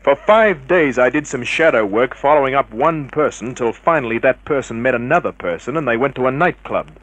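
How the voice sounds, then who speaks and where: An actor playing a tough, cynical private investigator in a 1950s radio crime drama, clipped and hard-boiled. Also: male voice, medium pitch, expressive, a little fast, fairly bright tone, lightly acted, rough recording. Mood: confrontational. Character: tough, cynical male private investigator narrating his case.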